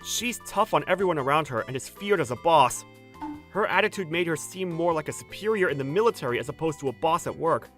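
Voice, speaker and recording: A man acts out a regular office worker in his twenties, telling his story in a manga dub. He is polite and deferential, with very mobile pitch. Quiet music sits behind him. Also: lively intonation, fairly quick. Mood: matter-of-fact. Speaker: voice actor, lead and narrator. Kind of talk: dramatized manga dub narration.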